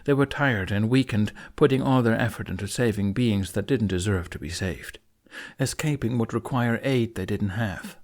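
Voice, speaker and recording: A man's voice: on the low side, normal speed, darkish tone. A man reading a fantasy audiobook as narrator, in dramatic scripted narration.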